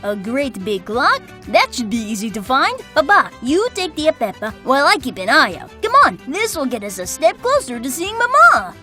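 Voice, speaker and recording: High, plucky, bright child. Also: female voice, sing-song, normal speed, few pauses, soft background music, heavily acted. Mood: eager. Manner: eager, questioning. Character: plucky, curious child on a quest.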